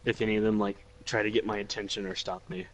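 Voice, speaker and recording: A man's voice: on the low side, level tone, fast talker, poor audio. A man chatting casually as a player in a tabletop role-playing game.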